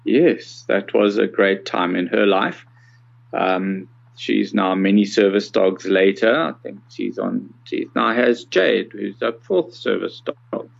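A South African man in his sixties giving conversational, measured interview answers with some hesitation. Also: fairly low pitch, gravelly, highs cut off. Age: 60s. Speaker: podcast guest, accessibility consultant.

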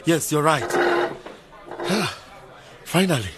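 An actor playing a skeptical, sober-minded young graduate man in a radio drama, arguing and questioning, with a husky voice. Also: a little high, animated, slow pace, very noisy. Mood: hurried. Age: young adult. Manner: questioning, argumentative.

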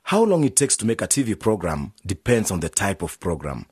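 A man's voice: lowish voice, big pitch swings, a little fast, a little mellow, breathy edge, no echo, studio quality. An actor playing a busy, slightly patronising male film director in a radio drama, explaining things emphatically. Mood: brisk.

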